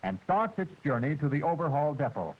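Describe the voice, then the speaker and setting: A man's fairly high voice narrates a wartime instructional film with precise, formal diction and a lively, rising and falling delivery. His tone is husky, and the old soundtrack is dull and muffled with a bit of music beneath it. Every step is explained in a measured, steady manner.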